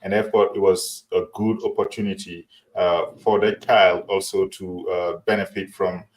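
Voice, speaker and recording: A man hosting an interview, asking long questions with frequent pauses and restarts. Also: sits low, flat delivery, low-quality recording. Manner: halting, many fillers and restarts. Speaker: interview host.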